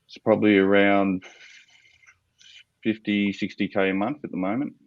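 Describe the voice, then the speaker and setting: A man with an Australian accent pitching his startup to investors, stopping often and repeating words as he explains. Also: level tone, dry recording, muffled recording. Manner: halting, repeats words, frequent fillers. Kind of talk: business pitch Q&A.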